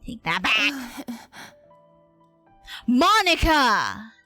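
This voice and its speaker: A woman's voice: fairly high pitch, big pitch swings, extremely slow, sharp tone, no room sound. A woman livestreamer casually reacting to a game with playfully indignant, sweary trash talk. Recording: game music behind the voice. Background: game music and dialogue.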